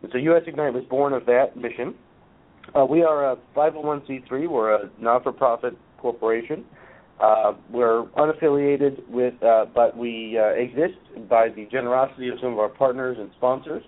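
A man with a hoarse voice from a cold, a guest in an interview, explaining at a measured pace with many filler pauses. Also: mid-range voice, fairly even intonation. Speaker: guest, nonprofit executive. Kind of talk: conversational interview answers. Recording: phone-line audio.

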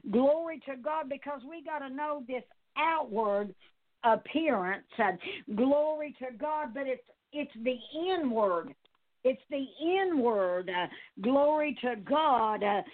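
A woman's voice: high-pitched, no room sound, narrow, tinny audio. A woman preacher delivering an exhorting sermon, often punctuated with short interjections of praise.

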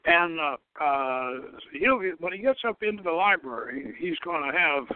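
A man hosting an informal radio talk, speaking in a halting, rambling way and often repeating his words as he explains. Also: on the high side, animated, clear, resonant tone. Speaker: show host and author. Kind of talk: dramatic reading of own novel. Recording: narrow phone-like radio line.

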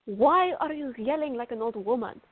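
A woman's voice: on the high side, expressive, moderate pace, no room sound. A woman playing a fiery, stubborn, teasing young artist in a radio drama, with an emphatic, wry way of contradicting. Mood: stubborn, sarcastic. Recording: thin, phone-like band-limited audio.

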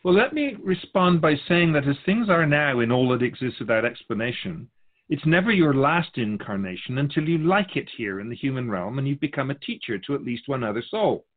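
A man acts as a wise spirit guide who answers questions about human souls. The delivery is measured and philosophical, gently instructive, with a medium male pitch that rises and falls in an animated way. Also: phone-quality sound. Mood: calm, instructive.

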